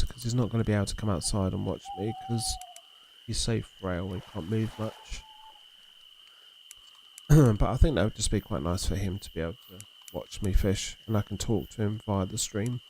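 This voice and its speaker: A man with a gravelly, low voice chats easily to his viewers while streaming a fishing game. His tone is mellow and rambling, and a faint outdoor hum sits under his voice. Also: normal speed. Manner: relaxed, rambling, talks to chat and reads messages aloud. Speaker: livestream host.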